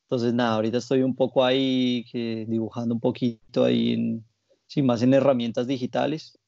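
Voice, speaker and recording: A man with a Colombian accent answering as a guest in a casual interview, reflective and softening what he says. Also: medium pitch, flat delivery, brisk, creaky, dry recording, highs cut off. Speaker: interview guest, graphic designer and muralist.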